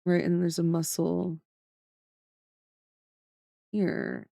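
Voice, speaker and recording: A woman's voice: on the low side, wildly swooping pitch, extremely slow, gravelly, warm tone, dry recording, clean studio sound. A woman streamer chatting casually with viewers while she draws, often trailing off mid-sentence as she works. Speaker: streamer drawing emotes. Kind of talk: casual chat with chat while drawing.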